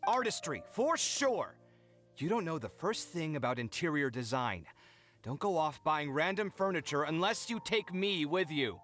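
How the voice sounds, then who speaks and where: A man's voice: on the high side, big pitch swings, moderate pace, fairly bright tone. A man voicing a flustered, indignant young artist roommate in an anime-style video game, with an exasperated, theatrical manner. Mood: huffy, defiant. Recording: game dialogue with music behind.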